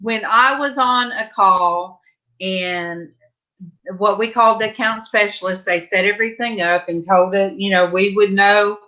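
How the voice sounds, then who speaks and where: A woman's voice: mid-range voice, unhurried, muffled recording. A woman from California telling a funny work story during a casual video call chat. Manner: animated storyteller, acts out quoted voices. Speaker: panel guest.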